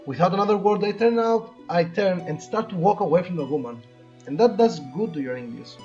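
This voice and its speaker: A man's voice: high voice, lively intonation, brisk, mellow. A man co-hosting a let's play, reading visual novel narration aloud and dropping in casual asides. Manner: corrects co-player's words. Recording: muffled lo-fi audio with music. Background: game music.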